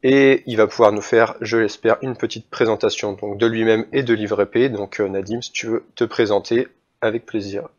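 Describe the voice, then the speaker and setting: A man's voice: on the low side, steady tone, normal speed. A man hosting an interview, chatting casually and informally with lots of loose filler words. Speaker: host. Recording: dull lo-fi sound.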